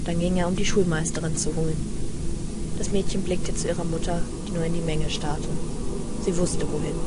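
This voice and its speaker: A woman's voice: on the low side, heavy background noise. A woman narrating a scripted story as the narrator of an audio drama. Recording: loud music behind the narration.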